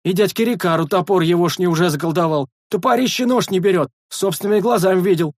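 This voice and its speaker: A man's voice: fast, no echo, clean studio sound. A man doing a wailing young sentry. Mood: pleading. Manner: high, wailing, pleading shouts. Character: excitable young male wall sentry, pleading.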